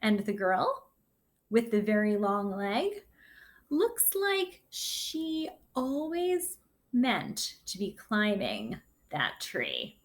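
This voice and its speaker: A woman teacher giving a read-aloud lesson for children, reading a picture book with gentle, deliberate, expressive phrasing and her own commentary. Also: medium pitch. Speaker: sixth grade teacher.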